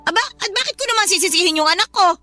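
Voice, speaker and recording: A middle-aged mother-in-law in a radio drama, nagging at a rapid clip. Her voice is very high and piercing. She sounds scornful and sarcastic, always laying blame. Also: lively intonation, pushed and bright, exaggerated voice. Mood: scornful, scolding. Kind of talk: Tagalog radio drama acting. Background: background music.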